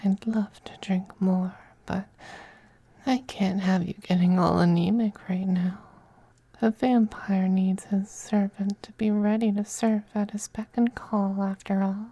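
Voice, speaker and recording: Possessive femboy vampire noble played by an actor, ASMR intimacy: very mellow, slow, breathy whispers, tender yet domineering. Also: medium pitch, little pitch movement, dry recording. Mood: hungry, indulgent. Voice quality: breathy, soft, often whispering.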